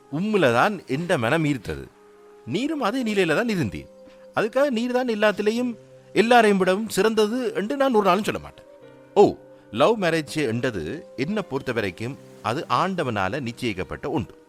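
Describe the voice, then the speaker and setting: An actor playing a gentle young husband with a Sri Lankan Tamil accent, earnestly reassuring his wife. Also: high voice, sing-song, normal speed, faint music behind. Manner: soothing, earnest explaining. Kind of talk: radio drama acting.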